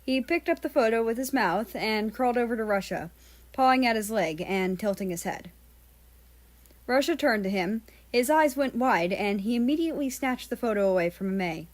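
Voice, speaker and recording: A woman's voice: on the high side, lively intonation, normal speed. A woman narrating a fan-written story aloud as its reader.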